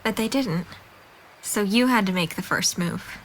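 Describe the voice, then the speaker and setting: Female actor for candid young girlfriend in a drama, medium pitch, direct and composed, faint noise behind. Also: measured pace, lightly acted, poor audio. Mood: questioning, hurt. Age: young adult. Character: candid, level-headed young woman being broken up with. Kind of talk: naturalistic dramatic dialogue.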